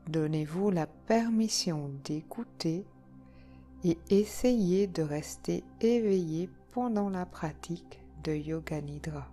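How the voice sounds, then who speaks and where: A woman leading a guided yoga nidra relaxation, speaking calmly and soothingly in short phrases with long pauses. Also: fairly low pitch. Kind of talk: guided relaxation meditation, slow instructions. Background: soft background music.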